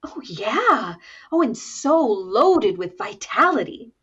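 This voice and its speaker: Sympathetic girlfriend type, female actor, 1940s radio comedy: cooing, very lively intonation, slow, slightly muffled recording. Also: on the high side, breathy edge, full character voice. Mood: sympathetic, fussing. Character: sweet, gushing, sympathetic female friend. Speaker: actress in a radio comedy recreation. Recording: dull, lo-fi sound.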